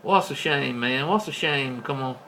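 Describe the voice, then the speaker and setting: A man's voice: mid-range voice, normal speed. An American man chatting casually in folksy, colloquial CB radio slang. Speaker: CB radio operator. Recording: clearly noisy CB radio setup.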